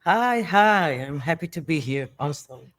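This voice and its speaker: A middle-aged woman whose first language is Hebrew, a therapist guest telling a personal story in English, often pausing and restarting as she searches for words. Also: deep voice, expressive. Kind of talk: interview answers, personal story.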